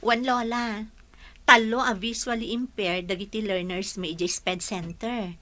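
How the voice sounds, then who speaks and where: A woman's voice: mid-range voice, steady tone, measured pace, fairly bright tone, natural voice. A woman with a Filipino accent acting an eager, respectful young student teacher, polite and earnest, in a radio drama.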